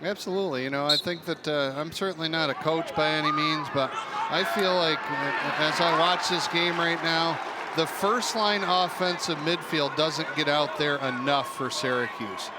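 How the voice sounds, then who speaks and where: A man's voice: on the high side, steady tone, normal speed. A man working as a sports color commentator, giving live analysis of a game. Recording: loud arena crowd and noise. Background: sports crowd.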